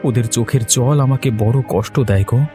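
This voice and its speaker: A man's voice: mid-range voice, brisk, lightly acted. A man voicing an emotional, jobless village son-in-law in a cartoon story. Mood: despairing. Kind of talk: Bengali children's cartoon story dialogue. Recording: music playing under the voice.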